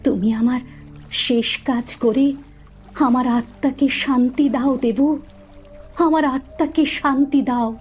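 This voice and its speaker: Woman voicing a soft young village girl ghost. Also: high-pitched, fairly even intonation, takes it slowly, pauses often, faint music behind. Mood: pleading. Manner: soft, tender, pleading.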